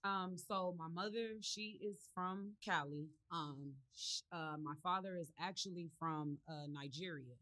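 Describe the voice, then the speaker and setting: A young woman from California, a guest in a studio interview, telling her story in a relaxed, rambling way with many fillers. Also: lowish voice, a little slow, few pauses, far from the mic. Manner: rambling, many ums and likes, relaxed and open. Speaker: interview guest, business owner. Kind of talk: casual interview chat.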